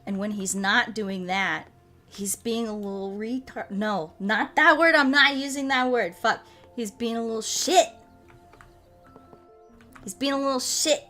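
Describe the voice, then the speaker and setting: A woman from the United States chatting casually as a streamer, animated and rambling with a salty tongue. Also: fairly high pitch, measured pace, soft background music. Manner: animated rambling, frequent swearing. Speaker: streamer chatting with viewers during a puzzle game. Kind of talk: casual livestream chat.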